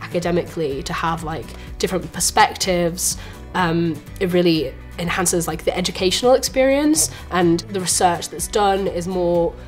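A young woman talks casually in an interview, using a lot of fillers. Her voice sits fairly low. Soft music plays behind her. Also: moderate pace. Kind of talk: interview answer.

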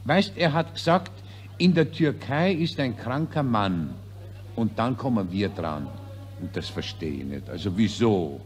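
An actor playing a chatty, muddled Austrian officer with a Viennese accent in a 1940s radio play. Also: male voice, on the low side, animated, a little slow, soft background music. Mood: muddled, jovial. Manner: bumbling, questioning, self-correcting. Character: chatty, dim-witted Austrian army officer, easily muddled.

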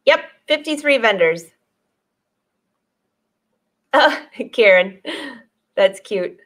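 A woman's voice: medium pitch, expressive, drawn-out words, fairly bright tone. A woman in her forties, a sewing teacher chatting casually on a live stream, reading viewer comments aloud and laughing often. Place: sewing studio with dress forms, indoors.

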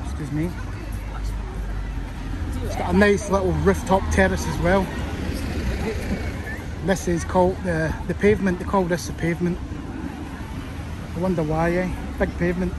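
Scottish male vlogger, highish voice, sore throat, rambling street commentary over crowd and traffic. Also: steady tone, unhurried, pauses often. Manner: chatty, rambling asides to viewers. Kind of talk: casual walking commentary. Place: busy city street outdoors. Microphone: handheld phone camera.

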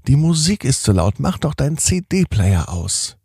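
A man voicing a mother who scolds her daughter about loud music, in a raised, livelier voice than his own narration. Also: mid-range voice, sing-song, measured pace, breathy edge, no room sound, studio quality, voice pushed up, exaggerated voice. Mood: scolding.

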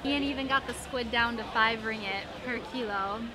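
A young woman vlogging at an outdoor night market, cheerful and relaxed. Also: on the high side, moderate pace, poor audio. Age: young adult. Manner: cheerful, relaxed commentary to camera. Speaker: travel vlogger. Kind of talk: casual travel vlog. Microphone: camera held at arm's length. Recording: loud crowd behind.

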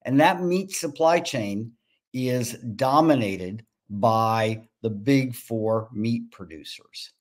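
A man in his sixties speaking on a video call as a farm business founder, pitching and answering investor questions. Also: slow. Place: home room with bookshelves.

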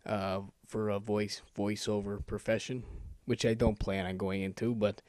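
A man's voice: on the low side, level tone, moderate pace, darkish tone, smooth, no echo. A man making an amateur voice-over sample, speaking in an informal, joking, self-amused way. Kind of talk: casual chat and rapid-fire list reading.

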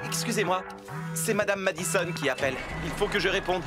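A man's voice: high voice, fairly quick, loud music behind the voice, close to an everyday voice. A man playing a friendly, patient young builder in charge of a team in a French cartoon dub. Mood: businesslike.

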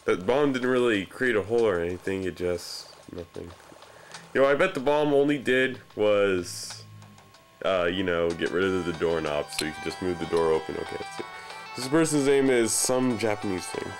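A man's voice: low-pitched, expressive, moderate pace. A man giving casual, improvised video game commentary in a sarcastic, irreverent way, with swearing. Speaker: let's play gamer. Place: very dark room, filming a screen. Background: video game music.

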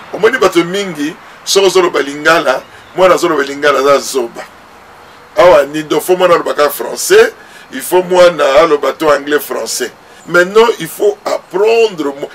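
A man's voice: fairly high pitch, fairly bright tone, recorded from a distance, a little hiss or hum. A middle-aged man with a Congolese accent, a pastor speaking earnestly to the camera and moving between French and Lingala. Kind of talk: talk to camera. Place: outdoor porch by a garden.

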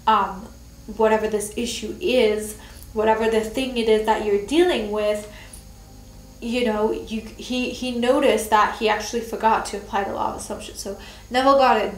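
Young woman with a cold, nasal and stuffy, chatting to her camera, kinda high voice, roomy echo. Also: slight background noise. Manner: chatty, lots of "like" and "you know", laughs often. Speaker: manifestation vlogger. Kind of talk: casual chat to camera. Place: bedroom dressing area, indoors.

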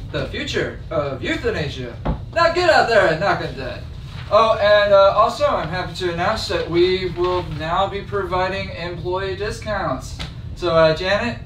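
Man playing a high-voiced glib boss, echoey room. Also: expressive, normal speed, fairly bright tone. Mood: peppy, callous. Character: glib, callous male boss rallying his staff. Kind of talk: amateur table read of a dark comedy script. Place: home dining room, table read. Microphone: far camera. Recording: distant mic, echoey room, faint noise.

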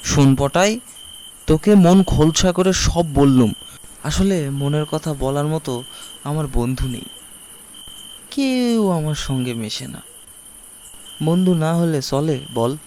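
A man's voice: on the high side, lively intonation, slow pace, pauses often, dark tone, slight background noise. A man playing a chatty, boastful, dreamy village boy who confides his schemes, in an audio story. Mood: confiding. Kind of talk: audio story character acting.

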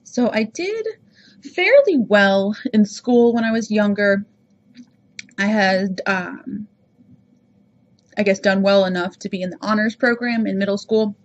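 A woman's voice: mid-range voice, lively intonation, a little slow, pauses often, highs cut off. A woman from Rhode Island with no regional accent, hosting a podcast and talking casually about herself with a chatty, self-reflective manner. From Rhode Island, USA. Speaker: podcast host. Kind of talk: casual personal monologue.

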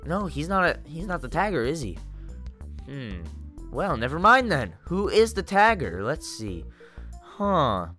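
A young man with a congested, stuffy voice giving excitable, jokey gameplay commentary to his viewers. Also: on the high side, measured pace, faint music behind. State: congested from a cold. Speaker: gaming YouTuber.

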